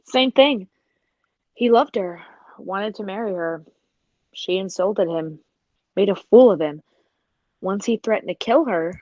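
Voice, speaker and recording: A woman's voice: mid-range voice, a little slow, pauses often, mellow, no echo, lo-fi sound, natural voice. A woman playing a blunt, gossipy circus woman in a 1940s radio play. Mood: accusing.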